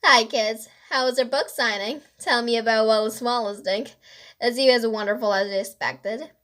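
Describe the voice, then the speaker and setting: A woman's voice: on the high side, fairly even intonation, moderate pace, fairly bright tone, lightly acted. A woman voicing a cheerful, affectionate mother in a children's storybook, chirpy and inquisitive.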